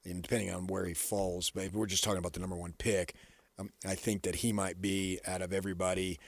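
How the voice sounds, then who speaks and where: Low-pitched American man talking sports. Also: little pitch movement, quick pace, no echo. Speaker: sports radio co-host. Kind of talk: casual sports talk commentary.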